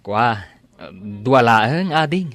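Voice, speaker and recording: A man's voice: medium pitch, expressive, extremely slow, a bit bright. A man playing a smitten, bashful village drinker in an audio drama. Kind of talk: Philippine radio-style audio drama acting.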